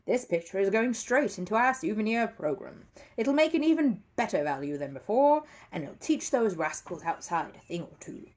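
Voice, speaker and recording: Woman reading a male theatre manager, storybook, hearty, self-satisfied, lively, a little husky. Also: medium pitch, moderate pace, pitched above the performer's own voice. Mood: pleased.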